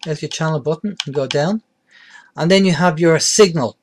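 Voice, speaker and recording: Higher-voiced man giving an informal tutorial. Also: moderate pace, a little hiss or hum. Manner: plain-spoken, explanatory, hands-on demonstrating. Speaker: presenter of a beginners' radio tutorial.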